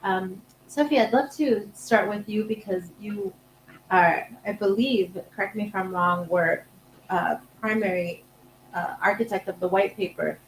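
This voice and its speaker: A woman moderates a panel at a mid pitch, her questions halting as she searches for words. The room is echoey, with a faint hum behind her.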